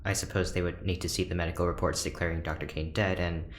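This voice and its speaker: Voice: sits low, little pitch movement, fast talker, natural voice. An actor playing a reserved, awkward ship's doctor in a sci-fi audio drama, speaking hesitantly and with stiff formality. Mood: cautious. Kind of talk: audio drama acting, naturalistic dialogue. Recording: roomy echo, faint background noise.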